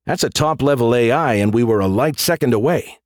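A man's voice: mid-range voice, a little fast, dry recording, clean studio sound, close to an everyday voice. A man voicing a boastful, petulant artificial intelligence in an audiobook, sarcastic and easily offended. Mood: smug. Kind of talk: audiobook character dialogue.

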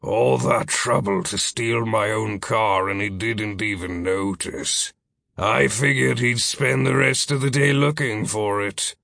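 A man's voice: on the low side, slow pace, vocal fry, pushed and bright, no room sound, clean studio sound, heavily acted. A man voicing a weary, irritable man in an audiobook story, with a strained, emphatic delivery. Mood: irritated. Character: weary, irritable middle-aged man, sneering and exasperated.

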